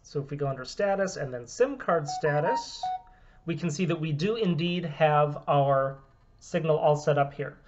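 A man's voice: a little high, normal speed, noisy background. A man in his thirties from the US, steadily explaining a phone unlock demo in a small room at home. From United States. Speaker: phone repair business owner. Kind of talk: product demonstration. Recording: dull, lo-fi camera audio.

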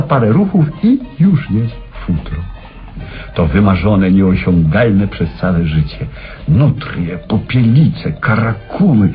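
A low-voiced eccentric inventor in a radio drama. His delivery swings widely in pitch as he tells of his obsession with theatrical pauses. The old recording is dull, with faint music. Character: eccentric, obsessive male inventor recounting his fur experiment. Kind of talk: Polish radio play acting.

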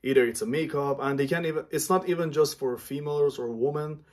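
A man in his thirties from Iran, speaking earnestly and haltingly to camera in a small home room, often stopping to search for words. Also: on the high side, darkish tone. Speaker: vlogger.

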